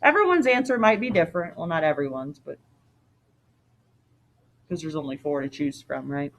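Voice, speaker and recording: Woman reading a story with lively expression. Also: low-pitched, moderate pace, slight background noise. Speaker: teacher reading aloud. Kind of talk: expressive story read-aloud with casual asides.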